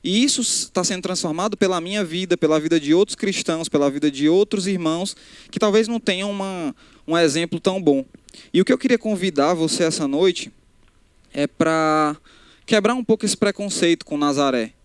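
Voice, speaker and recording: Young preacher, higher-pitched voice, smooth and clear, dry close mic, speaks conversationally to a live crowd. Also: male voice, a little fast, no echo. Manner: conversational, addresses crowd directly, frequent restarts. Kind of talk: casual live sermon. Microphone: handheld microphone.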